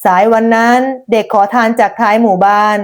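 A woman's voice: fairly high pitch, flat delivery, a little fast, clear, resonant tone. A woman storyteller reading a scripted folk tale narration.

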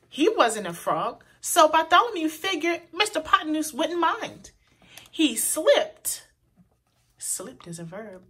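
Animated, high-pitched woman reading to children. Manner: pauses to point out words to young listeners; animated, deliberate delivery for children. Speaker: children's storyteller. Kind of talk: expressive read-aloud of a children's picture book.

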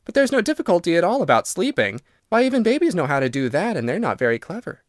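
Casting: male reader as a pert, kind-hearted young girl, pitched high, storybook style. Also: very animated, fast, no echo, studio quality. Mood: brisk, matter-of-fact. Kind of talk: storybook narration character.

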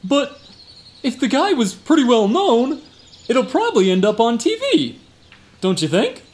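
Swooping, boastful, dim guard. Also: male voice, very high-pitched, sing-song, measured pace, exaggerated voice. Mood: bumbling bravado. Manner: bragging, exaggerated, bumbling. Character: boastful, dim, attention-hungry male security guard. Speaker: temple guard witness. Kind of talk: comic radio play.

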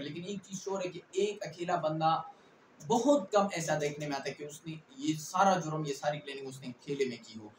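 Young male lecturer, Pakistani accent, emphatic, slightly high voice, recorded at a distance in an echoey room. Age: 20s. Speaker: law lecturer. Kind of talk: explanatory lecture mixing Urdu and English. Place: small indoor room at a whiteboard. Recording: echoey room, distant mic, faint noise.

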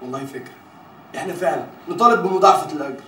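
An actor playing a pushy, eager Egyptian coworker in a comedy series, excitable and insistent. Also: male voice, a little high, racing. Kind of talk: Arabic comedy series acting. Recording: echoey room with background noise.

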